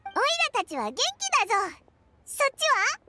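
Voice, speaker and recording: A woman voicing a tiny, childlike flying companion in an anime game, squeaky, chirpy, cheeky and blunt in manner. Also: wildly swooping pitch, a little fast, heavy background noise, exaggerated voice. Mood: cheerful, curious. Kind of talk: Japanese anime game voice acting.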